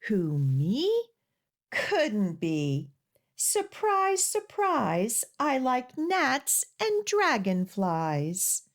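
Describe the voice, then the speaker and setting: A woman's voice: on the high side, huge pitch swings, drawn-out words, exaggerated voice. A woman voicing a cheerful, bouncy frog from a children's storybook, exclaiming in a springy way. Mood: cheerful, surprised.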